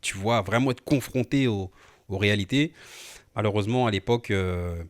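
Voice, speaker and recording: A man in his thirties, born in Portugal and raised in France, co-hosting a podcast and telling his life story in a relaxed, familiar way with lots of fillers. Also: fairly low pitch, normal speed. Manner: relaxed, familiar, frequent fillers and repeated "tu vois". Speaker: podcast co-host, entrepreneur. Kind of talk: casual conversational storytelling.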